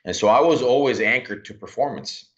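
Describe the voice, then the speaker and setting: A man in his forties from Miami, telling his story earnestly in an interview, often restarting his sentences. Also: medium pitch. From Miami, USA. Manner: earnest, halting, many restarts. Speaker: podcast guest. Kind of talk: reflective interview talk. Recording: dull lo-fi sound.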